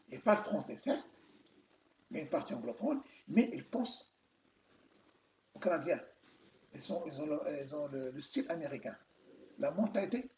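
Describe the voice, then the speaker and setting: A man from France giving casual advice about settling abroad, talking in an animated way and often restarting his sentences. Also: fairly high pitch. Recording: echoey room, phone-like lo-fi sound, faint hiss.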